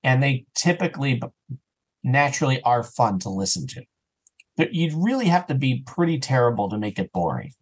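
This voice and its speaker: A man chatting casually as an interview guest, animated and often voicing his own thoughts as quoted self-talk. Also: mid-range voice, normal speed, husky. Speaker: podcast guest, audio drama creator and voice actor.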